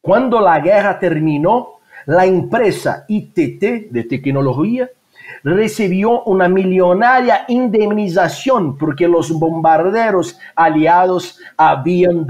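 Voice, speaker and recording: A man on a podcast reading a passage aloud in a slow, measured way. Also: high voice, animated, darkish tone. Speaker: podcast co-host.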